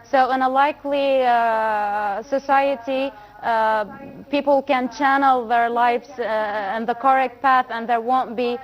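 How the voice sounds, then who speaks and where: A woman with a fairly high voice talks very slowly as a panelist and stops often to search for words. The recording is dull and lo-fi. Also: fairly even intonation. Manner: halting, many fillers. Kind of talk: studio discussion.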